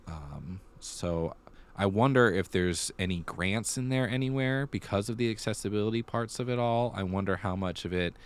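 A man with a low-pitched voice speaks as a podcast host in casual conversation. He thinks aloud as he goes, circling back and repeating phrases while he works through the news. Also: moderate pace, smooth. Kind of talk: casual theater news chat.

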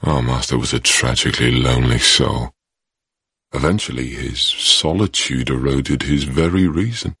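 A man's voice: fairly bright tone, no echo, studio quality, full character voice. An actor voicing an ancient male being who solemnly tells of his lonely master. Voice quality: deep, husky and slow. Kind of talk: solemn game narration.

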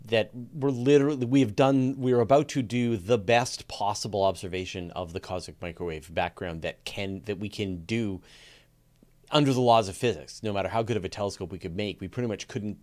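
Male podcast interviewer, lowish pitch, conversational, rephrases complex science as he goes. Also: fairly even intonation, a little fast, darkish tone.

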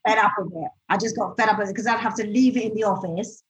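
A woman in a relaxed interview, telling stories animatedly and acting out what people said. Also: medium pitch, fast talker. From United Kingdom. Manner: animated storyteller, acts out quoted speech. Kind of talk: casual conversational interview. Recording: slightly dull lo-fi audio.

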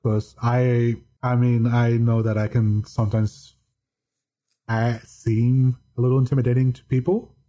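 A man guesting on a podcast, talking in a deadpan monotone and often stopping to restart his sentences. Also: mid-range voice, unhurried, lo-fi sound. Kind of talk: casual chat.

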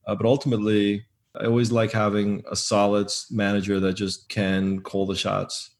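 A man being interviewed as a business owner, talking casually in a loud, emphatic way that often repeats words. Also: lowish voice, monotone, normal speed.

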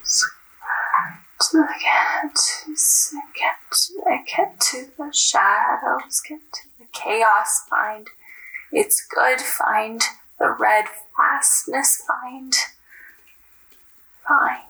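A woman's voice: sits high, extremely slow, bright, forceful tone, heavily acted, rough recording. An actress playing a young woman lost in a nightmare, whispering breathily and repeating words as if in a trance. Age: young adult. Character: young woman possessed in a nightmare, dazed and eerie. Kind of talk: horror audio drama acting.

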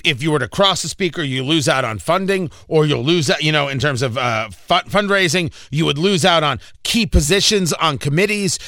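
A man hosting a political interview, asking long, deliberate, emphatic questions in halting phrases. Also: on the high side, fairly bright tone, no echo, clean studio sound. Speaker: radio interviewer, host.

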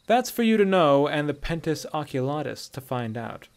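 A man's voice: on the high side, big pitch swings, normal speed, pitched above the performer's own voice. A man voicing a stern, unyielding Imperial commander in a fantasy story, curt and firm. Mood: cool, menacing.

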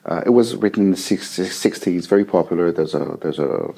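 A man's voice: sits low, brisk, creaky, mellow, breathy edge. A middle-aged man whose first language is French, answering interview questions in English about his work as an interpreter, hesitating often with fillers and restarts. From France, raised in Israel from age seven.

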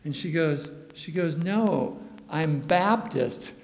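A man giving a lively mission report in church, acting out the voices of the people in his story. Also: on the high side, takes it slowly. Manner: animated storytelling, acts out quoted dialogue. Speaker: missionary speaker. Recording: echoey hall, long reverb.

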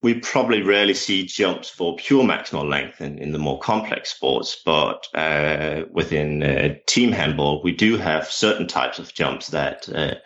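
A man's voice: extremely low pitch, unhurried, hardly any pauses, a bit bright. A man with a Danish accent, a coach answering interview questions in English, hesitant and full of fillers and restarts. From Denmark. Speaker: jumps coach and lecturer. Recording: dull lo-fi sound.

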